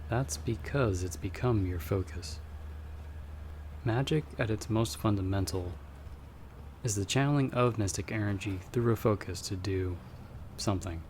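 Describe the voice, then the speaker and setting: A man playing a calm, guarded magic-wielding investigator in an ASMR audio roleplay, speaking in a measured, patient, instructive way with pauses. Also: lowish voice, a little hiss or hum, natural voice. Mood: patient, explaining.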